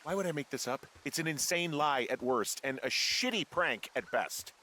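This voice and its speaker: A man playing a wary, sardonic fire lookout in a naturalistic video game style, halting and gruffly irritable. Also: on the high side, animated, bright, forceful tone, slight background noise. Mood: indignant. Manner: dry, sarcastic, halting, swears freely.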